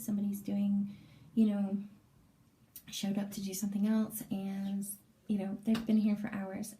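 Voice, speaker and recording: Hoarse lady vlogger, um-ing a lot, low-key flat delivery, mellow kinda dark voice. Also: slight background noise. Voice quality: raspy. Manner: hesitant, many fillers. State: lingering cough, strained throat. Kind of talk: casual personal update vlog. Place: small bathroom indoors.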